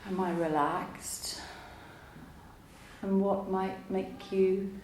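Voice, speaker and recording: A woman teaching yoga nidra, guiding a relaxation meditation in a calm, soothing, unhurried way with long pauses. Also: medium pitch. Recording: roomy echo, faint background noise.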